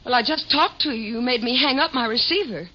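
A frantic, defiant radio-play heroine, high and piercingly bright. She stammers and repeats herself as her nerves fray. The recording is muffled 1950s radio with noise under it. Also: fairly quick. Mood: frantic, exasperated. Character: frantic, frightened woman with shattered nerves, indignant and defiant. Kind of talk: 1950s radio drama acting.

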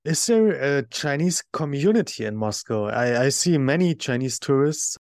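A man hosting a podcast, asking questions in a steady, chatty way. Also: mid-range voice, moderate pace, dry recording, studio quality. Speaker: podcast host. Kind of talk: interview questions.

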